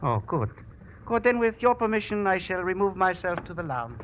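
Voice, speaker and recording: An actor playing a polite, unruffled gentleman doctor, precise and stiffly formal, in a 1940s radio drama. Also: high-pitched, very animated, moderate pace. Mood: courteous, unruffled. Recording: muffled old radio, faint noise.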